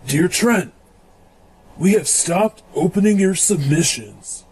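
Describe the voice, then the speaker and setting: Stern, exasperated official played by a man for comic radio theatre: slow, formal, high male voice with swooping pitch. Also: lively intonation, a little mellow, a little hiss or hum, poor audio. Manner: deliberate, formal, cutting. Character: stern exasperated doctrinal reviewer reading rejection letters.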